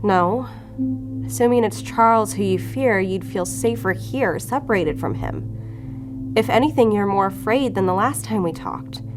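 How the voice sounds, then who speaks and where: A woman's voice: medium pitch, measured pace, over music, natural voice. An actress playing an earnest, determined female agent in an audio drama, pleading and pressing. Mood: earnest probing. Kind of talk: audio fiction drama acting.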